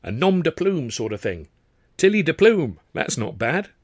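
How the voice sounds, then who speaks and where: Man putting on a high, chattering cheeky chorus girl. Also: big pitch swings, normal speed, dry recording, clean studio sound. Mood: chatty, explaining. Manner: chatty, theatrical, teasing exclamations.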